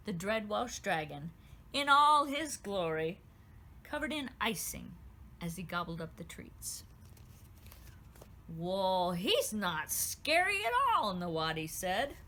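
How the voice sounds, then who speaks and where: Animated woman reading a storybook. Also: fairly high pitch. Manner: expressive, lively storytelling. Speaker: author reading her own story. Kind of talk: storybook read-aloud.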